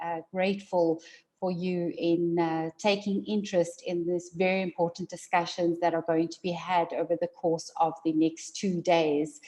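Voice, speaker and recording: Mellow-voiced South African woman presenting. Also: lowish voice, poor audio. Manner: measured, formal, with frequent fillers and repeated words. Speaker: attorney giving a conference talk. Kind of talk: formal prepared presentation.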